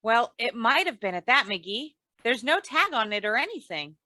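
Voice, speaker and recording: A woman playing a patient, kindly, gently exasperated wife with genteel, homey manners in an old-time radio comedy. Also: on the high side, big pitch swings, normal speed, no room sound. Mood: hesitant.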